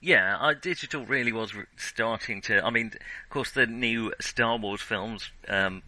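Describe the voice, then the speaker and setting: Bright-voiced man in halting film chat. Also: lowish voice, low-quality recording. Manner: hesitant, self-correcting, searching for words. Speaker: podcast co-host. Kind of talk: casual film discussion.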